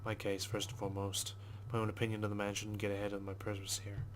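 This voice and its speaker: A man reading visual novel text aloud as a let's player, in a measured, understated storytelling manner with brief asides. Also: fairly low pitch, completely flat delivery, a little hiss or hum. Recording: music behind the voice. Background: game music.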